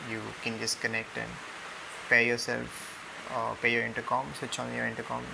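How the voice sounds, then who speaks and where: A young Indian-accented man talks through a product in a casual, hesitant way, with lots of ums. His delivery is very even, with a creaky, fried edge to the voice. The recording is homemade, with clear steady hiss behind him. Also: mid-range voice, moderate pace. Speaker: product reviewer. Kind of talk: casual unboxing and tutorial narration. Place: indoors on a room floor.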